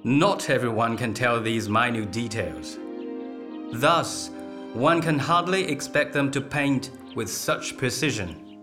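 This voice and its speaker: An actor playing a calm, dignified emperor in a radio drama, speaking with measured authority. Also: male voice, mid-range voice, over music, lightly acted. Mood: approving, instructive. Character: emperor, calm, dignified, commanding patron of painters.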